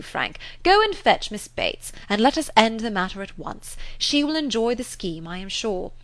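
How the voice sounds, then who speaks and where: A woman voicing a hearty, bossy middle-aged gentleman who speaks in booming, emphatic bursts. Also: no room sound.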